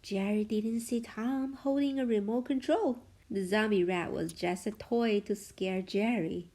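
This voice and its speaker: A woman reading a children's storybook aloud as a parent would, expressively, with a hushed sense of suspense. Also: mid-range voice, measured pace.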